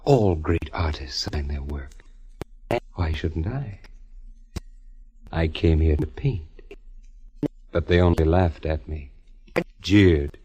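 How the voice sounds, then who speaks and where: An actor playing a menacing, theatrical killer and embittered artist in an old radio drama, with slow, sinisterly polite delivery. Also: male voice, rumbling low, warm tone, dull audio. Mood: bitter, grandiose. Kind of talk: 1940s radio acting. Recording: vintage 1940s radio recording.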